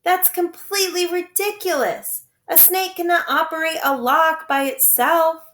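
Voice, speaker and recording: A woman's voice: extremely high pitch, steady tone, a little slow, a bit bright, echoey room, slight background noise, pitched above the performer's own voice. A woman voicing an earnest, sceptical young girl in a storybook, careful and insistent. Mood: indignant.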